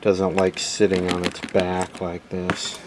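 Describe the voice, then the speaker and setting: A man's voice: sits low, monotone, slow, noisy background. A man giving a casual, matter-of-fact running commentary as he does hands-on repair work, thinking aloud. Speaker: electronics repair video host.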